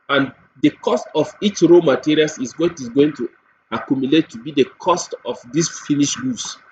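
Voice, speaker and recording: A man with a Nigerian accent giving a software tutorial walkthrough, explaining step by step and repeating points with everyday examples. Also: medium pitch. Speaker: software demonstrator. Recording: dull lo-fi mic with faint noise.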